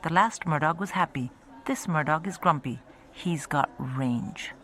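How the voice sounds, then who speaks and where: Woman playing a dry, bright-voiced agent. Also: low voice, unhurried. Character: dry, defensive woman agent for gifted animals.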